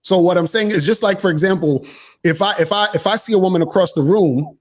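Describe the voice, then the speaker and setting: A man's voice: high-pitched, fast. A man in casual debate, pressing the same point over and over with rephrasing and restarts. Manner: insistent, repeats himself. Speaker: dating coach. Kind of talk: argumentative casual chat.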